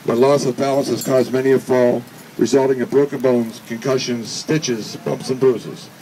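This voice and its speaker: A man reading a prepared speech at a charity event in an earnest, measured way, occasionally stumbling over words. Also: mid-range voice, fairly even intonation, warm tone. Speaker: speaker reading another person's speech. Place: charity event finish line, before a gathering. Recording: distant PA speech with background noise.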